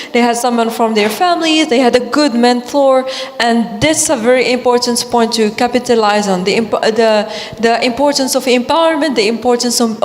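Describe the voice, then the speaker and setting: A young woman from Morocco whose first language is Arabic, speaking earnestly on a panel and often restarting her words. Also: on the high side. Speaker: panelist, youth climate ambassador. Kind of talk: panel discussion. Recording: long hall reverb.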